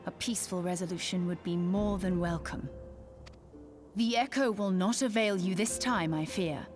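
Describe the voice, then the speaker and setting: A woman's voice: fairly low pitch, normal speed, lightly acted. An actress playing a cool, learned, dry-witted female adviser in a fantasy game, with formal, archaic phrasing. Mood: dry, serious. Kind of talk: scripted fantasy game dialogue. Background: game music.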